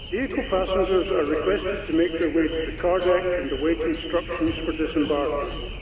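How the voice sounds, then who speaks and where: An actor playing a formal, courteous ferry announcer making a public address over a ship's tannoy. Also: male voice, high-pitched. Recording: echoing tannoy, loud ambient noise.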